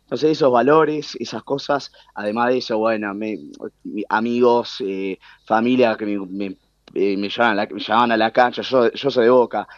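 A man's voice: lowish voice, steady tone, moderate pace, dry recording, muffled recording. Hesitant young man with Argentine accent. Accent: Argentine Spanish. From United States. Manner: hesitant, many fillers and restarts. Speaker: guest. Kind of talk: casual interview talk.

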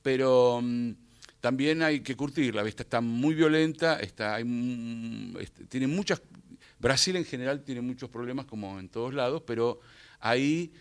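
A man's voice: medium pitch, measured pace, clean tone. Reflective French-born man, Buenos Aires Spanish. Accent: Rioplatense Spanish. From France, raised in Argentina. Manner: reflective, rambling with many fillers. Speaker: actor, interview guest. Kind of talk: casual radio interview.

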